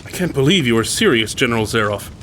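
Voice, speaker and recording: A man's voice: medium pitch, lively intonation, fast, a bit bright, slight background noise, natural voice. Man playing an earnest young hunter, swinging intonation. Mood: appalled. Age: young adult. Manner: incredulous, earnest. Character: earnest, principled young American gentleman hunter. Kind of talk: dramatized audiobook acting.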